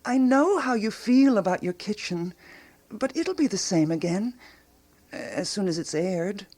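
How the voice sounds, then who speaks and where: A woman playing an eccentric, earnest amateur investigator in a radio drama, with a deliberate, unflappable manner. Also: mid-range voice, expressive, a little mellow. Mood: pleading. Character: Gertrude, eccentric, matter-of-fact, obsessive woman amateur investigator.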